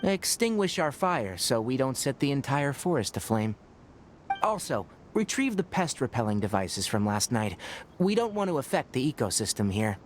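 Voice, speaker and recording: An actor voicing a calm young scholarly male forest ranger in a video game, speaking in a measured, thoughtful, gently lecturing way. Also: medium pitch, a little hiss or hum, lightly acted. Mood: practical.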